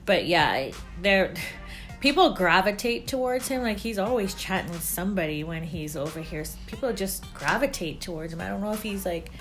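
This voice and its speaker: A woman's voice: mid-range voice, expressive, moderate pace, fairly bright tone, faint music behind. A Canadian woman in her thirties, chatting casually as a co-host and explaining things with examples. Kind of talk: casual chat.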